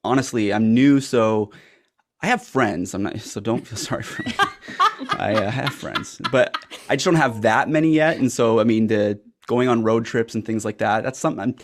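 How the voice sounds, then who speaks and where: A man's voice: mid-range voice, normal speed. A young Canadian man from Ontario, a podcast guest talking in an earnest, rambling, self-deprecating way. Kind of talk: casual chat.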